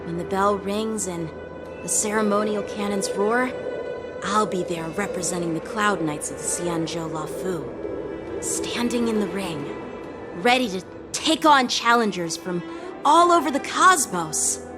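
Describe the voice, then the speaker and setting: A woman's voice: mid-range voice, unhurried, lightly acted. A woman voicing an earnest teenage boy swordsman, music behind. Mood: proud, resolute. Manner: earnest, youthful, eager. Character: earnest, eager teenage boy swordsman, proud young knight. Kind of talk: English video game voice acting. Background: game soundtrack music.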